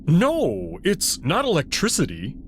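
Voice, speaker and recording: A man voicing an awkward, evasive male alien wolf who talks haltingly in a visual novel. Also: fairly high pitch, huge pitch swings, a little hiss or hum. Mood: hesitant, concerned. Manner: hesitant, halting.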